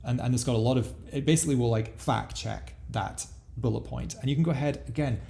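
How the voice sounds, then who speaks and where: A man presents a software walkthrough in an informal, explanatory way. His voice is lower than most, with a mellow tone and a noticeable creak at the edges. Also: fast talker. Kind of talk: casual product walkthrough.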